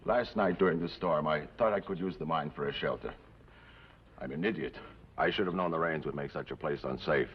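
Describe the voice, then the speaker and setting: An actor playing a grateful, wry Mexican outlaw of about forty-five in an old TV western, speaking formally and floridly with a Mexican accent. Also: male voice, very deep voice, brisk. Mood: relieved, grateful. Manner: florid, formal speech sprinkled with Spanish. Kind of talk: 1950s television western acting. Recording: muffled, noisy old TV western soundtrack.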